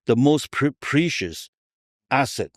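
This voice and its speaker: A man's voice: medium pitch, animated, slow, no echo, clean studio sound. A middle-aged man from Taiwan, explaining things emphatically as a guest in a conversational podcast interview, often checking that he is followed. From Taiwan, raised partly in Argentina. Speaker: interview guest, tech entrepreneur.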